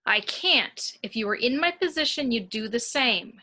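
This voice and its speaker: A woman's voice: fairly high pitch, lively intonation, normal speed, sharp tone. A woman playing a firm, by-the-book warrant officer in a sci-fi table read, speaking insistently. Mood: firm, urgent. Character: firm, rule-bound female warrant officer on a spaceship. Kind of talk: amateur screenplay table read.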